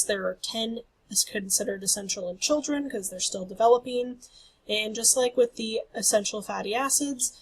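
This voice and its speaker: A woman's voice: medium pitch, low-quality recording. A woman instructor losing her voice, giving a recorded lecture over slides in an explanatory way. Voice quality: husky. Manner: explanatory, apologetic about her voice.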